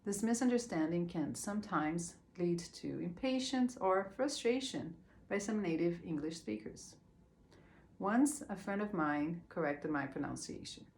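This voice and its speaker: Brazilian-accented woman, lowish voice. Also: lively intonation, a little slow, a little mellow. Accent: Brazilian Portuguese. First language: Portuguese. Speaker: speaker. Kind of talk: prepared talk.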